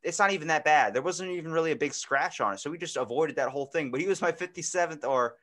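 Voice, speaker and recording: A man in his twenties chatting casually as a podcast host, telling stories in an animated, slangy way with frequent swearing. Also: on the high side, fast. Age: 20s. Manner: animated, profane, slangy storyteller. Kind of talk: casual chat, storytelling. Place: indoor room, podcast video call.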